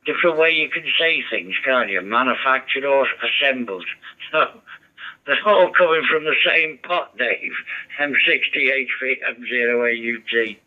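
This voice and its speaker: A man's voice: mid-range voice, a little slow. A man chatting over a ham radio, rambling and giving advice. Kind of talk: casual radio chat. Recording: dull muffled radio audio.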